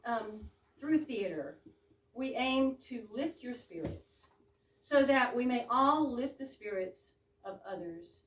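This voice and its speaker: Female evening host, higher-pitched, theatrical with chatty asides, recorded from far off with some noise. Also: animated, slow pace. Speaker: host welcoming an audience to a readers theater evening. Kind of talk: live welcome speech. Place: room in a private home with a small audience. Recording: echoey room, distant mic, background noise.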